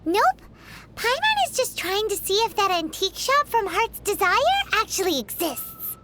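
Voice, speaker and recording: A woman's voice: very high-pitched, big pitch swings, normal speed, heavy background noise. A woman voicing a tiny, cheeky floating companion creature in a video game, bubbly and teasing, talking about herself in the third person. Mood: playful.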